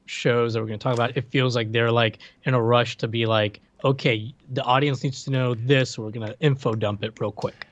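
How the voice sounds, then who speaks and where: A man's voice: medium pitch, fairly even intonation, brisk, hardly any pauses, lo-fi sound. A man co-hosting a podcast, laid-back and chatting casually. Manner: laid-back, brief agreeing replies. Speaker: podcast co-host. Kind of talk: casual chat.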